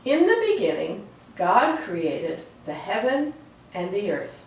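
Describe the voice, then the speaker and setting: A woman reading aloud for a children's storytime in a slow, deliberate, expressive way. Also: medium pitch. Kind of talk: read-aloud storytime with chatty asides. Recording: echoey room, distant mic, faint noise.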